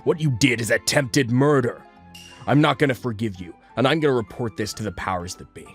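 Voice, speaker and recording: A man's voice: mid-range voice, fairly quick, natural voice. Man acting an earnest, protective young husband. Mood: angry, firm. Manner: earnest, emotional. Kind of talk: dubbed comic dialogue. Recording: music behind the voice.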